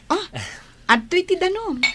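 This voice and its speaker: A woman's voice: very high voice, lively intonation, measured pace, noisy background. A woman acting a sweet, hopeful young woman in a radio drama, speaking in a coaxing way. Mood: caring. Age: young adult.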